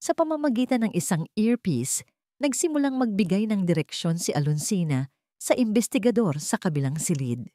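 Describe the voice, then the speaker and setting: A woman's voice: mid-range voice, expressive, moderate pace, warm tone, no echo, clean studio sound. A woman narrating a scripted dramatic story.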